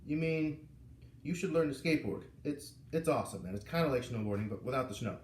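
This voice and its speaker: Eager schoolboy, voiced by a man, animated pitch. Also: brisk, a little hiss or hum, lightly acted. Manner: casual, enthusiastic. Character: friendly, eager middle-school boy. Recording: echoey room, far from the mic.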